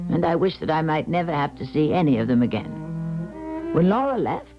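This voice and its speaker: Casting: a formal, imperious female medium for vintage radio drama, highly animated delivery, muffled recording. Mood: weary, exasperated. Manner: formal, dramatic, precise diction. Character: weary, proud female clairvoyant medium, theatrical and firm. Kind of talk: 1970s radio drama acting with narration. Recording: dull 1970s radio broadcast recording.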